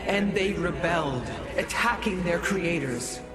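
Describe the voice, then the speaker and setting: A man's voice: high-pitched, expressive, a little slow. A man playing a stern, distrustful alien military advisor in a video game, formal and clipped in delivery. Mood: accusing. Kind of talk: dramatic video game acting. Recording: loud cinematic music underneath.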